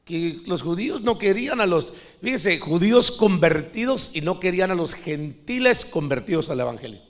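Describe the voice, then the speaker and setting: A man's voice: sits high, lively intonation, moderate pace. A middle-aged man from Costa Rica preaching a sermon in a conversational way, often calling the listeners brother. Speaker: pastor.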